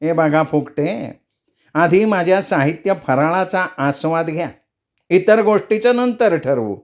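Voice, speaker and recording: Man putting on a nasal, cranky old man, high-pitched. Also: expressive, measured pace. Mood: self-satisfied. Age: older. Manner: brusque, demanding people speak up. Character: cranky half-deaf old man, pompous amateur writer.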